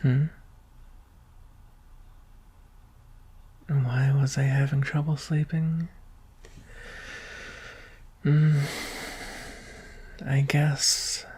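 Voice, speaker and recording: Someone acting as a timid vulnerable alien prince, asmr roleplay, soft whispery husky voice w some creak, real slow. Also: mid-range voice, full character voice. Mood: anxious, tender. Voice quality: breathy, husky, often whispering. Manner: hesitant, halting, tender. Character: shy, anxious young alien prince, gentle and vulnerable.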